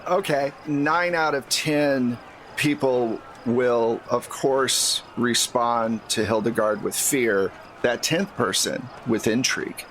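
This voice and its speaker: A man's voice: mid-range voice, slow pace, a bit bright, low-quality recording. A man narrating an improvised tabletop game as game master, with a playful, teasing way of talking. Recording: faint background noise.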